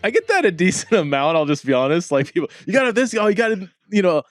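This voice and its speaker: A man's voice: high voice, sing-song, fast, no room sound, studio quality, soft background music. Chatty upbeat man hosting a podcast. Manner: upbeat, chatty, laughs often. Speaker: podcast host. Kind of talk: casual interview chat.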